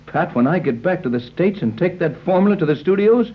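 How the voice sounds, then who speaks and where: A hearty adventurer captain, 30s radio style, really animated, muffled old sound. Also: male voice, sits high, a little fast, husky. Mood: curious, impressed. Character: Captain Tex Bradford, confident adventurous yacht captain. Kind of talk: 1930s radio serial acting. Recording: dull, lo-fi 1930s radio recording.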